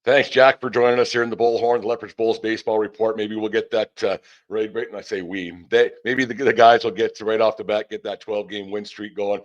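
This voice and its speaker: Older man, low voice, dull recording. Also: flat delivery, a little fast. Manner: folksy, rambling, talkative. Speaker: host of a baseball team podcast. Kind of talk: casual sports interview and chat. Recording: dull, band-limited sound.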